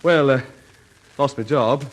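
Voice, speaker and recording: An actor playing a glib, jobless young gambler, breezy and wheedling in a radio comedy. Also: male voice, mid-range voice, a little slow, close to an everyday voice, poor audio. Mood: evasive. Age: young adult. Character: glib, jobless gambling son forever cadging money.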